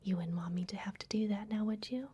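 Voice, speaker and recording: A woman's voice: mid-range voice, highs cut off. Whispering woman as a secretive vampire librarian. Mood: secretive, welcoming. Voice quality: breathy, mostly unvoiced whisper. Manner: soft, gentle, unhurried, confiding. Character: secretive, soothing female vampire librarian in a hidden fantasy library. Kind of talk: ASMR roleplay. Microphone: close ASMR microphone.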